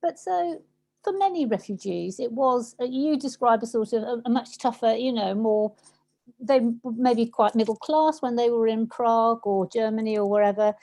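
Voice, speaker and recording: A woman's voice: fairly high pitch, moderate pace, gravelly, a little mellow. A woman interviewing someone in a conversational way, tentatively phrasing and rephrasing what she says.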